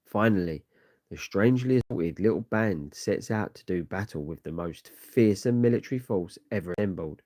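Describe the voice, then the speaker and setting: A man's voice: low voice, lively intonation, normal speed, dark tone, no room sound. A man reading a superhero comic aloud as a dramatic narrator. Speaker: comic read-along narrator.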